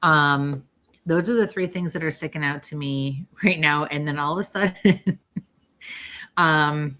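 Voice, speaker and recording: A woman hosting a live-streamed spirit reading as a psychic medium, chatty and often clearing an irritated throat. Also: low-pitched, a little slow, bright, forceful tone. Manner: chatty, keeps clearing her throat and coughing.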